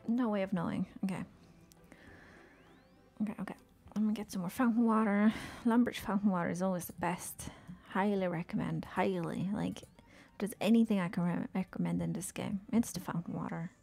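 Soft, gravelly young woman streamer. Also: mid-range voice, fairly even intonation, brisk, faint music behind. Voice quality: soothing soft voice. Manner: murmuring to herself, often dropping to a whisper. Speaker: game streamer. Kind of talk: casual gameplay commentary.

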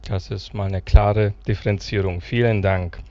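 A man's voice: on the low side, steady tone, moderate pace, dark tone, no room sound, lo-fi sound. A middle-aged man hosting an interview on a church stage, asking formal, polite questions. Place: church hall.

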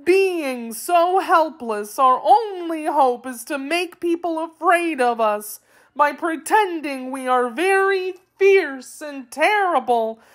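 A woman voicing a fearful, eager male wheeled creature from a children's storybook, pleading and excitable. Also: high-pitched, voice pushed up, full character voice.

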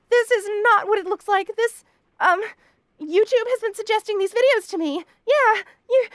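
Very high-pitched flustered bunny girl. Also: fairly bright tone, no room sound. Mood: panicked, flustered. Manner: stammering, nervous, flustered denial. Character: cute flustered bunny girl roommate, bashful and evasive. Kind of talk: roleplay audio acting, addressed to the listener.

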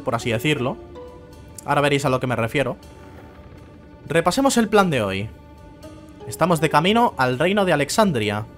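A man's voice: on the high side, a bit bright. A man casually commenting on a game he is playing, sometimes reading game lines aloud in voices. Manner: chatty, reads game text aloud in voices. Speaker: let's play host. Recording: faint game music behind.

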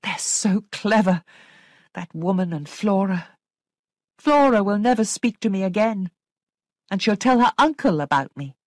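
A woman's voice: mid-range voice, lively intonation, unhurried, pauses often, no room sound, voice pushed up. A woman voicing an anguished young governess in a storybook reading, with intense, emphatic delivery. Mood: urgent. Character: anguished young governess, desperate, insistent, haunted. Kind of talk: dramatic audiobook character acting.

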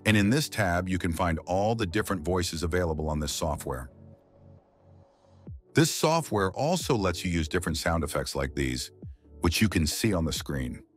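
A man hosting a scripted software tutorial, narrating as the presenter. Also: low-pitched, animated, moderate pace, soft background music. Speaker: YouTube tutorial host.

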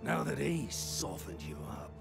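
A man's voice: medium pitch, very animated, unhurried, vocal fry, over music, heavily acted. An actor playing a treacherous mercenary villain in a fighting game, taunting and gloating as he talks. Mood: gloating menace. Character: sneering, treacherous mercenary villain, an arms dealer.

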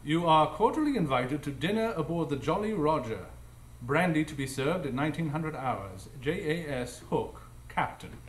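Guy playing a precise, assured detective, formal, bit high voice, echoey stage radio drama. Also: very animated, moderate pace. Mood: composed. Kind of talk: live radio drama acting.

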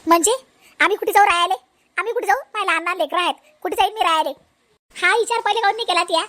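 A woman's voice: very high voice, racing, sharp tone. A woman playing an aggrieved, outspoken young village daughter-in-law in a comedy cartoon, complaining in a Vidarbha Marathi accent. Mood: distressed, indignant. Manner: complaining, repetitive.

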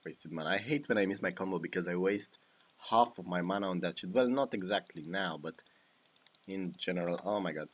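Romanian-accented young man, low voice. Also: fairly quick, lo-fi sound. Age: young adult. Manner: swears and exclaims at misplays. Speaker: gaming YouTuber. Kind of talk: live commentary over own gameplay. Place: small home room.

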